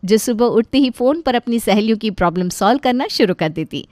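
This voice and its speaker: A woman reading scripted storybook narration in an expressive, lightly wry way. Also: a little high, fairly quick, dry recording, studio quality.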